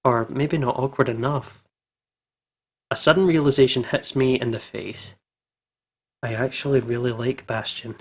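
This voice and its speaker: A man's voice: mid-range voice, fairly even intonation, moderate pace, pauses often, husky. Informal man hosting a visual novel playthrough. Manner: informal, jokey, stumbles when reading. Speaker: video game let's play host. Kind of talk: casual commentary and reading aloud of visual novel.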